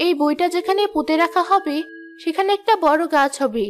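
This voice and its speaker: A woman voicing a kindly, solemn fairy of knowledge in a cartoon, gently explaining. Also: high-pitched, a little fast, natural voice. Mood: mysterious. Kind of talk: cartoon voice acting.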